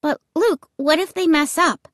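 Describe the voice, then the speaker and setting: Woman voicing an anxious skeptical child, high-pitched. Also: extremely slow, pushed and bright, no room sound, clean studio sound, heavily acted. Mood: worried, demanding. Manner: emphatic, questioning. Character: anxious, skeptical child narrator of a scary story.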